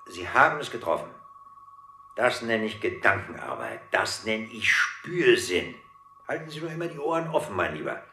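Pompous big-idea boss, very swooping delivery, sharp gravelly voice, radio drama. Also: male voice, mid-range voice, roomy sound, a little hiss or hum, heavily acted. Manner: pompous, emphatic, exclamatory. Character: grandiose, self-important male boss fond of big ideas. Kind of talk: German radio drama acting.

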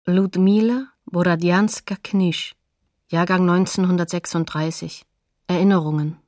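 A woman's voice: on the low side, steady tone, unhurried, darkish tone, no echo, clean studio sound. A woman announcing scripted documentary credits in a sober, neutral manner.

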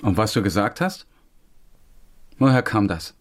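A man's voice: on the low side, expressive, unhurried. An actor playing a weary, self-doubting Syrian man who tells his story in a brooding way in a radio drama. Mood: hurt, resigned. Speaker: actor and narrator. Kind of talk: radio drama narration and dialogue.

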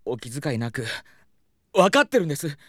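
Anxious, whiny young manager, swooping pitch. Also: male voice, fairly quick, no room sound, clean studio sound. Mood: apologetic, glum. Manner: polite, anxious, excitable. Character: timid, worry-prone male talent manager, whiny and flustered. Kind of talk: Japanese comedic audio drama acting.

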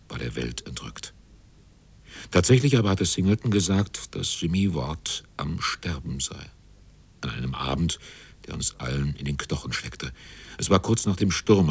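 Male narrator for literary radio drama: extremely deep, slightly rough tone, measured scripted delivery.

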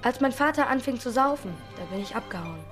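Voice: high-pitched, lively intonation, quick pace, a bit bright. An actor in a children's radio play voicing a tough street kid, matter-of-fact, wry and teasing. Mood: matter-of-fact, bitter. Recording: studio radio play with faint music.

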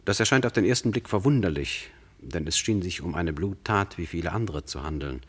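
Man, low voice, standard German from Germany, scripted radio narration, measured, formal, deliberate. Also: expressive, a bit bright, husky. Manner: measured, formal, deliberate storytelling with rhetorical questions.

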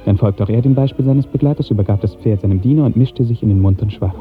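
Low-voiced man narrating, old muffled radio. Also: faint music behind. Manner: measured, lyrical storytelling. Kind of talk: literary scripted narration, 1950s German radio drama.

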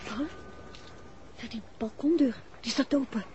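An actress as a high-voiced, uneasy detective's wife. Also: female voice, a little mellow, muffled recording, natural voice. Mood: hushed, uneasy. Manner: slow, hesitant, repeating words. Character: Ina, detective's wife, uneasy but observant companion. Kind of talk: 1960s Dutch radio drama acting. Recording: noisy old 1960s radio recording.

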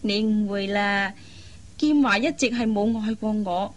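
A woman playing a kind, persuasive young elder sister in a Cantonese radio drama, coaxing and teasing in drawn-out phrases. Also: medium pitch, steady tone, natural voice. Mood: playful, fond.

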